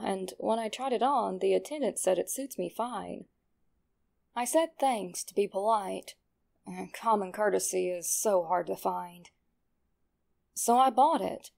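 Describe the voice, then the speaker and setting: A woman voicing a smug, cold young male killer reading out his horror journal in a sinister, drawn-out way. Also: mid-range voice, creaky, darkish tone. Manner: sinister, self-satisfied, drawn-out delivery. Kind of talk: horror story journal read aloud.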